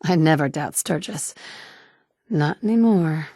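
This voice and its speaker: Game heroine, adult woman survivor, low register, firm and direct, dry booth recording. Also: expressive, a little slow, mellow, no echo, exaggerated voice. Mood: matter-of-fact. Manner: firm and direct, shifting between stern and sympathetic. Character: determined adult woman, pragmatic wasteland survivor. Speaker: player character. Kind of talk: game dialogue voice acting.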